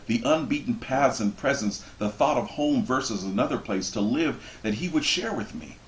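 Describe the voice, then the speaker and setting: A man reading a book passage aloud expressively, with measured, deliberate phrasing and dramatic pauses. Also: on the low side. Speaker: reader of a book introduction. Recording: roomy echo with background noise.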